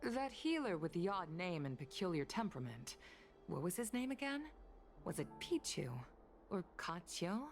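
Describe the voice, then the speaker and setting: An actress voicing a dignified retired pilot in a video game, courteous and composed, speaking formally to an old comrade. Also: female voice, lowish voice, very animated, normal speed. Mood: nostalgic, courteous. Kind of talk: scripted video game voice acting. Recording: music under the voice.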